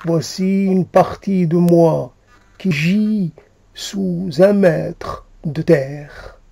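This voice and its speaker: A man reciting poetry in a solemn, halting manner, repeating phrases as he goes. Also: sits high, vocal fry, mellow, no room sound. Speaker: poet reciting own poem.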